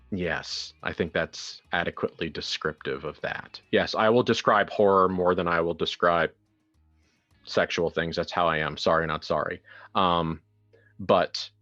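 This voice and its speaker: A man with a very deep voice runs a horror tabletop game as game master. He takes his time, describing things deliberately and haltingly, then slipping into casual asides. The sound is slightly dull, with music underneath. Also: a bit bright.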